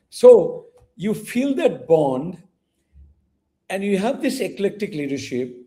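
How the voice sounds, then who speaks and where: Polite interviewer guy, rambles a bit, kinda high but mellow voice. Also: very animated, slow pace. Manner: courteous, meandering, many fillers. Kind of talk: interview conversation.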